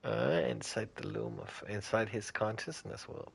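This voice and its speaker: A man's voice: fairly low pitch, level tone, measured pace, vocal fry, slightly rough. A man streaming a video game with casual, offhand commentary, muttering to himself as he plays. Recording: game music behind the voice.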